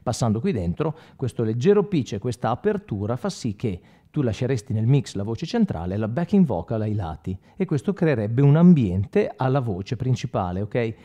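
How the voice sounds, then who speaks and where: A man's voice: mid-range voice, sing-song, moderate pace, few pauses. A man, explaining things informally in a conversational tutorial manner, often checking in with the listener. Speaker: guitar instructor and product demonstrator. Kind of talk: informal video tutorial, explaining between guitar demos. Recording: dull lo-fi sound, loud guitar playing. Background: loud electric guitar with effects.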